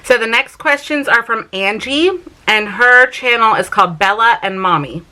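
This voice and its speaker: A woman's voice: on the high side, lively intonation, normal speed, pushed and bright, low-quality recording. A woman in her thirties with a Southern US accent from South Carolina, chatting casually to camera in a home Q&A vlog, full of "um" and "like" fillers. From South Carolina, raised in Vermont. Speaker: mom vlogger.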